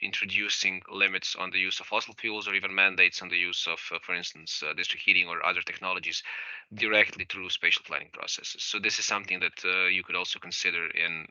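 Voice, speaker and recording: Bright-toned, low-pitched man from Croatia. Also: flat delivery, no echo, dull audio. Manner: measured, explanatory, with frequent fillers. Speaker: regional energy agency participant. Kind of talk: panel discussion, asking and commenting.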